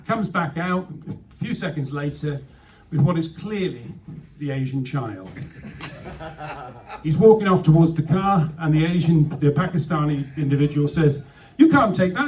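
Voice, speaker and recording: A man's voice: on the high side, moderate pace, darkish tone. A man with a Welsh accent telling comic stories on stage, breaking off for wry asides, recorded from a distance. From Wales. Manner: self-interrupting, wry asides. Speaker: stand-up comedian. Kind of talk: live comic storytelling. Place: live venue before an audience. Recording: distant, noisy live room recording.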